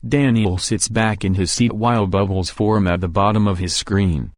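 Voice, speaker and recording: A man's voice: lowish voice, fairly even intonation, normal speed, darkish tone, no echo, studio quality. A man reading scripted story narration for a visual novel.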